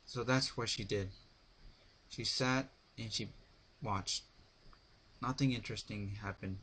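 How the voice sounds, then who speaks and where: A man reads a story aloud as an amateur narrator at a slow pace. His delivery is halting, with occasional stumbles, and there is clear noise in the recording. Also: lowish voice, sharp tone. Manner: halting, stumbles over words. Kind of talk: dramatic reading of a written story. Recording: noisy amateur home recording.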